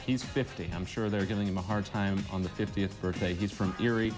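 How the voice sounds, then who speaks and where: A man's voice: lowish voice, fairly even intonation, a little fast. A man anchoring a local morning newscast from a studio, reading items and bantering lightly. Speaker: news anchor and reporter. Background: music bed, director's cues talking over him.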